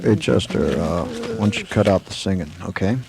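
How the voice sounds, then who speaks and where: A man playing a laconic, easygoing frontier marshal in a western radio drama, with a halting, folksy, gravelly delivery. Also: sits low, animated, crowd noise. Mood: businesslike. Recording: clearly noisy old 1984 amateur recording.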